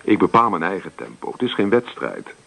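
A restless young farm son in a radio drama. His voice sits fairly low with a creaky edge and swings widely in pitch. He sounds breezy and dismissive, a student dodging responsibility. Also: big pitch swings, a little fast, sharp tone, full character voice. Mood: defensive, dismissive. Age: young adult. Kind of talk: Dutch radio play acting.

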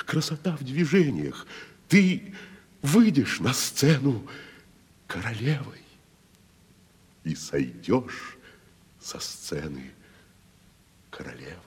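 Wandering tragedian: magnanimous, slow, ringing stage declamation, low-pitched, long reverb and music behind. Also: male voice, husky, exaggerated voice. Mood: rapturous. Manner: declamatory, pompous, swelling and hushed. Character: grandiloquent, magnanimous wandering male tragedian actor. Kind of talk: theatrical Russian radio stage acting. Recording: 1970s radio play, reverberant, music behind.

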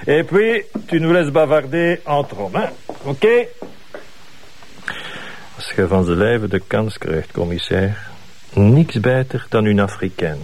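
An actor playing a swaggering, mocking Brussels gangster in a crime drama, with a Brussels accent and French mixed into colloquial Flemish. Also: male voice, medium pitch, big pitch swings, slow, pauses often, darkish tone, slight background noise. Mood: smug. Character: brash swaggering middle-aged male Brussels gangster. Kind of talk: television crime drama acting.

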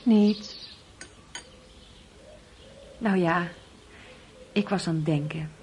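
An actress playing a gentle, worried middle-aged wife and mother in a radio drama. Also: on the low side, drawn-out words, a little hiss or hum. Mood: wistful, worried.

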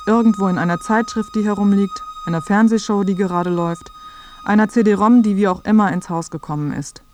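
A woman's voice: mid-range voice, a little fast, a little mellow. A woman narrating a scripted radio feature, addressing the listener directly in a wry way.

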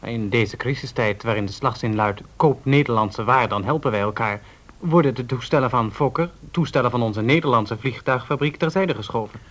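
An actor playing an earnest, challenging young newspaper reporter of the 1930s in a Dutch radio drama, formal and declamatory. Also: male voice, medium pitch, normal speed, a bit bright, lightly acted.

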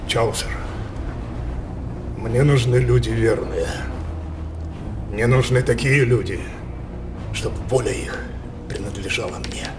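An actor playing a scheming, depraved king in a theatrical radio drama, declaiming imperiously with teasing pauses. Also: mid-range voice, background noise, close to an everyday voice. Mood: commanding, tempting. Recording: studio radio play over loud music.

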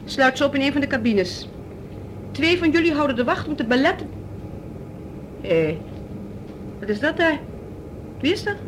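Haughty alien commander, swooping high voice. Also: female voice, sing-song, normal speed, long pauses. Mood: brisk command. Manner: formal, haughty, threatening, giving clipped orders. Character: cold commanding female leader of alien mind-controllers. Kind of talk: Dutch radio drama acting. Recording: noisy old radio drama recording.

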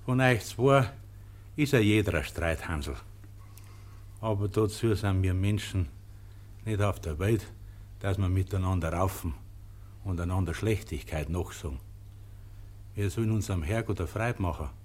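A Capuchin friar with a fairly low voice and a Bavarian accent. He speaks as a devout peacemaker in a radio play, earnest and reproving. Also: normal speed, pauses often, a little mellow. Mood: earnest, admonishing. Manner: earnest, chiding, preaching. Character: pious male Capuchin friar, admonishing peacemaker.

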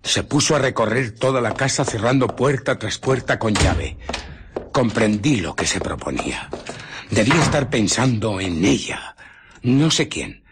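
An actor playing a ruthless, irritable fugitive scientist in a radio drama, husky and often dropping to a whisper as he recounts and justifies his deeds. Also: mid-range voice, animated, unhurried, noisy background. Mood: cold, self-justifying. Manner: dramatic, brooding, self-justifying. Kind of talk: radio drama narration and dialogue.

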